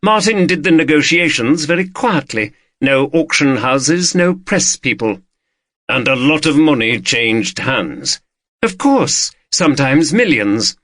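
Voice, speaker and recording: A man's voice: a little high, sing-song, unhurried, fairly bright tone, dry recording, clean studio sound, voice pushed up, heavily acted. A man voicing a wealthy, haughty woman collector in an audiobook, gracious, discreet and imperious. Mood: discreet, assured.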